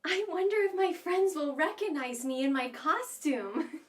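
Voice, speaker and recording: A woman voices a little girl firefly for a children's puppet show. The voice is very high and unhurried, full of bubbly, eager cheer and gentle reassurance. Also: recorded from a distance. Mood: excited, friendly.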